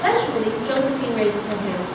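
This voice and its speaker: A woman's voice: a little high, big pitch swings, a little fast. A woman reading scripted stage directions as narration at a public script reading. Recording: echoey hall from far off, clear noise.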